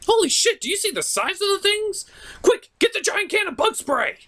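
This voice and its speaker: A performer voicing an excitable, panicky child in a puppet-show spoof. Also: very high voice, moderate pace, very noisy, voice pushed up. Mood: panicked.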